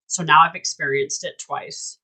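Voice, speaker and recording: A woman's voice: deep voice, steady tone, normal speed, pushed and bright, slightly rough, poor audio. A woman hosting a candid casual chat, talking emphatically and bluntly and swearing freely. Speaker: host.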